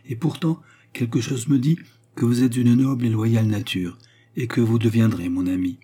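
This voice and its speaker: A man's voice: mid-range voice, steady tone, normal speed, dark tone, breathy edge, pitched above the performer's own voice, lightly acted. A man voicing a repentant former courtesan in storybook dialogue, solemn, secretive and commanding, with formal, emphatic delivery. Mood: mysterious, earnest.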